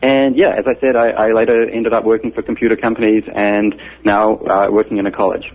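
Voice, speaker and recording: A man's voice: on the low side, little pitch movement, brisk. A man with a New Zealand accent, a computer scientist patiently and methodically explaining ideas as a guest in an interview. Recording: muffled radio broadcast audio.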